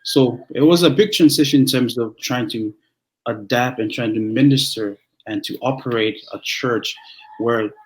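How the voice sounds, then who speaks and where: A young man from Tonga, whose first language is Tongan, speaking as a pastor in a relaxed interview with frequent restarts and fillers. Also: mid-range voice, low-quality recording. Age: young adult. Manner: halting, many restarts and fillers. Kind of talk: conversational interview.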